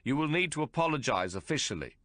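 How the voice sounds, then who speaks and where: A man's voice: medium pitch, very animated, fast, pushed and bright, no room sound, voice pushed up. A man voicing a placid, conservative middle-aged son who pleads and apologizes. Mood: pleading.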